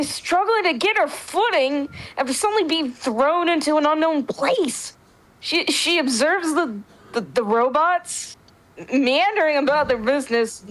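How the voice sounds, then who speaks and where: A woman with a very high-pitched voice reads story narration. She is casual and chatty, taking part in an informal dramatic reading. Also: low-quality recording. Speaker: roleplay reader.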